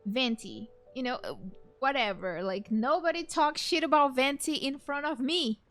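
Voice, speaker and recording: A woman's voice: sits high, normal speed, a bit bright, soft background music. A young woman streaming a game with casual, slangy, playful and opinionated commentary.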